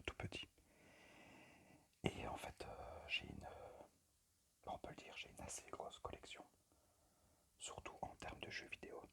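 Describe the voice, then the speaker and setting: A man speaking in a breathy, unvoiced whisper into a phone microphone, presenting informally in ASMR style with a hesitant, unpractised manner. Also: fairly bright tone. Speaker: amateur ASMR creator and game collector. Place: at a desk indoors.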